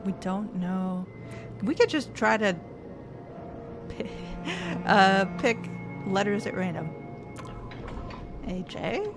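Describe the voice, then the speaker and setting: A woman streaming a puzzle game, chatting casually, thinking out loud and reading the game's text aloud. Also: mid-range voice, sing-song, takes it slowly, pauses often. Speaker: gaming streamer. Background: game music.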